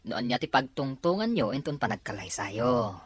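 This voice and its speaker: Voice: medium pitch, sing-song, normal speed, a crowd in the background, lightly acted. A performer playing a teasing buddy who ribs a friend about romance in an audio drama. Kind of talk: scripted audio drama dialogue.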